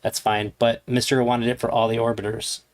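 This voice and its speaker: A man hosting a livestream, giving casual commentary in a halting, rambling way. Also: mid-range voice, level tone. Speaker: streamer host.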